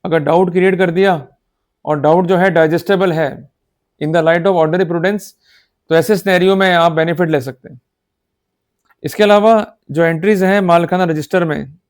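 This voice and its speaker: Higher-pitched Indian man lecturing emphatically. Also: fairly even intonation, normal speed, pauses often. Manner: emphatic, exhorting, checks understanding often. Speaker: law lecturer. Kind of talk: instructional law lecture in Hindi-English mix.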